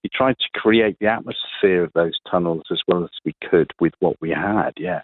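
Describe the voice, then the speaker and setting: A man being interviewed on the radio, talking reflectively and haltingly, often rephrasing as he goes. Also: low-pitched, breathy edge, no room sound. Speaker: interview guest, playwright. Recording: narrow, phone-like radio sound.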